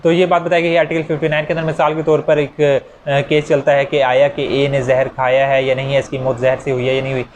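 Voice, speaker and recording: A man's voice: mid-range voice, monotone, brisk, hardly any pauses, slight background noise. A man with a Pakistani Urdu accent giving a conversational law lecture, explaining points through worked examples.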